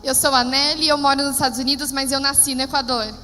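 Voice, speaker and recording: Woman interpreting on stage, high bright voice, lively, echoey hall w/ faint room noise. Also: fast talker, faint music behind. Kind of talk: live consecutive interpreting. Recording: reverberant hall, faint ambience.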